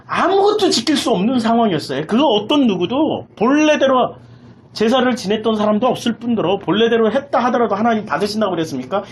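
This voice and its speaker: Animated, emphatic preacher delivering a sermon. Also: medium pitch. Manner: emphatic, repeats phrases, raises voice. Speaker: pastor preaching a Sunday sermon. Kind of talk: impassioned sermon with rhetorical questions.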